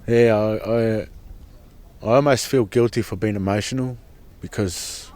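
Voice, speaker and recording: A man's voice: on the low side, fairly even intonation, unhurried, a little mellow. A man giving an earnest, impassioned personal account as a protester, often repeating and restarting his words. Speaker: protester at a sacred site camp.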